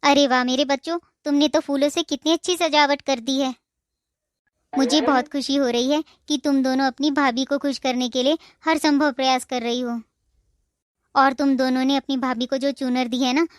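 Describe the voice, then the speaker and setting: A woman voicing a caring middle-aged mother-in-law in a cartoon, speaking in a warm, reassuring, motherly way. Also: high voice, steady tone, normal speed, dry recording, clean studio sound, natural voice. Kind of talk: Hindi cartoon dubbing.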